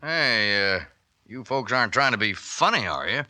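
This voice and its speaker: Doubtful cop. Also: male voice, medium pitch, expressive, normal speed, bright, forceful tone, dry recording. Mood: skeptical. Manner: blunt, doubtful questions. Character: skeptical gruff male police officer. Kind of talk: 1940s radio acting. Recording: dry old radio recording.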